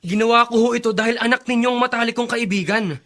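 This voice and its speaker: A man's voice: very high-pitched, steady tone, fast talker, bright, forceful tone. An actor playing an indignant, principled young man in a Filipino radio drama, reproaching and moralizing with emphasis. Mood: firm. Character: indignant, principled young man defending his friend's father.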